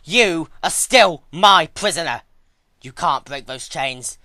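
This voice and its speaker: A storyteller plays an indignant young pony villainess, frantic and accusing. The voice is pushed up high and hard, with sharp, forceful outbursts in a dry story recording. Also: animated, takes it slowly, no echo. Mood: menacing, furious.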